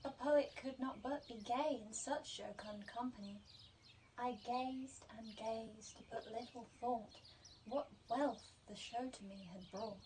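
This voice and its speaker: A woman gives a measured poetry recitation in a mellow, fairly high voice. She takes it slowly, and birds sing faintly behind her. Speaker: poetry reader. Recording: slightly distant, faint noise with birdsong.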